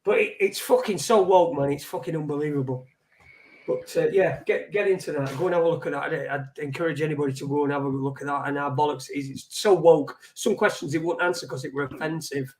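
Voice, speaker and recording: A man with a high-pitched voice, co-hosting a live stream. He is blunt and halting, stumbling through fillers and swearing freely as he chats. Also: reverberant. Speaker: co-host of a live online talk show. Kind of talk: casual live-stream chat.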